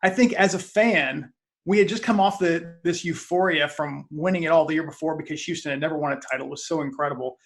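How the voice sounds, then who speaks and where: A man's voice: on the high side, quick pace. A man speaking as a guest on a video call, giving casual, reflective sports fan commentary.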